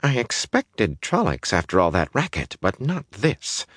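A man's voice: on the low side, sing-song, moderate pace, no room sound, pitched above the performer's own voice. A motherly innkeeper woman from a fantasy tale, put on by a male narrator, with a lifted, swooping voice. She coaxes and soothes, yet stays firm, in a dry recording. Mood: scandalized, amused.

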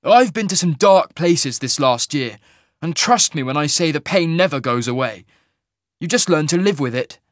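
A weary, hot-tempered swordsman snaps back in a raised, higher voice, as a narrator acts him out. His lines come out blunt and scornful. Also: big pitch swings, normal speed, hardly any pauses, no echo, clean studio sound.

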